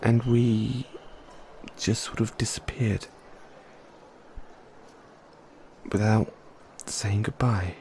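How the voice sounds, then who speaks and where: A man playing a tender, excitable mad scientist boyfriend in an audio roleplay, speaking in a halting, geeky manner. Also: lowish voice, slight background noise, rough recording. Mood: gentle, sorrowful. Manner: halting, geeky, swinging between gentle and eager. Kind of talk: scripted audio roleplay to listener.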